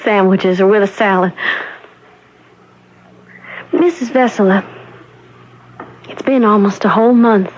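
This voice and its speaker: A woman's voice: mid-range voice, a little slow, pauses often, darkish tone, lightly acted. An actress in a radio drama playing an anguished nineteen-year-old small-town young woman. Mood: gentle, hesitant. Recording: dull, noisy old radio recording.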